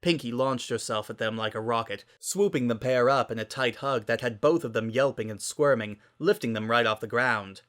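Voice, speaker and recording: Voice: medium pitch, normal speed, hardly any pauses, fairly bright tone. A narrator giving a dramatic reading of a scripted story.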